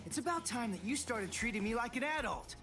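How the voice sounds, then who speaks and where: A man voicing a brash but earnest high school boy in an English anime game dub, blunt in manner. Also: lively intonation, a little fast, sharp tone, echoey room, long reverb tail, soft background music, exaggerated voice. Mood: irritated, shouting.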